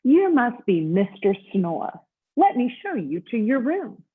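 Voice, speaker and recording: A woman's voice: on the high side, sing-song, a little slow, husky, pitched above the performer's own voice, full character voice. A woman playing a courteous sing-song bellhop. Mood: polite. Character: polite, accommodating male hotel bellhop. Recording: tinny phone-like audio.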